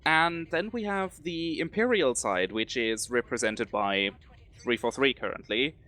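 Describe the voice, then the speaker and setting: A man from Germany with a German accent, answering interview questions hesitantly with many pauses and ums. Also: mid-range voice, bright, forceful tone. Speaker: guest, faction leader.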